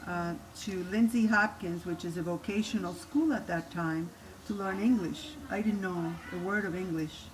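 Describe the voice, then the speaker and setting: A woman's voice: lowish voice, moderate pace, low-quality recording. An older grandmother from Cuba with a Cuban Spanish accent, whose first language is Spanish. She gives a homey cooking lesson in her kitchen and tells her life story, with many restarts. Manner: homey and instructive, with frequent restarts. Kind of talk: cooking demonstration and personal storytelling. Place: home kitchen. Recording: steady background noise.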